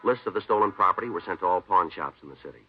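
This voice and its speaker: A terse American police detective in a vintage radio drama. His very low voice moves fast, clipped and deadpan. The old recording is dull. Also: breathy edge, faint music behind. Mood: matter-of-fact. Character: laconic, dry, unflappable male police detective.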